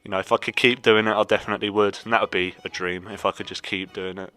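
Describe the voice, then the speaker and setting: A man's voice: fairly low pitch, level tone, fast talker, bright, forceful tone, faint music behind. A nineteen-year-old man from England rambling casually about travel. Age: teens. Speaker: interviewee.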